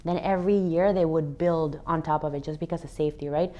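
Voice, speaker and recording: Low-voiced woman recounting her story. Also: steady tone, fast, mellow, muffled recording. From Venezuela. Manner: earnest, reflective, frequent restarts. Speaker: interview guest, athlete and fitness educator. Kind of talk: relaxed interview answers.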